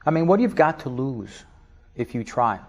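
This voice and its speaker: A middle-aged man from Spanish Harlem with a New York accent, giving an animated interview, laughing often and restarting his sentences. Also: medium pitch, moderate pace. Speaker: interview guest, business leader. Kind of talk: casual interview talk.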